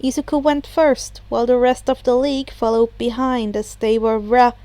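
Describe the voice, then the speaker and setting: A woman's voice: fairly high pitch, flat delivery, normal speed, warm tone, no echo. A woman reading fiction aloud as an audiobook narrator, in a scripted storytelling style.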